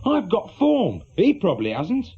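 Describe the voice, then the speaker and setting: An actor playing an anxious, defensive small-time ex-crook with an English accent, pleading in a British radio drama. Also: male voice, high voice, wildly swooping pitch, a little slow, creaky, breathy edge, dull audio, exaggerated voice. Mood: panicky. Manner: pleading, agitated.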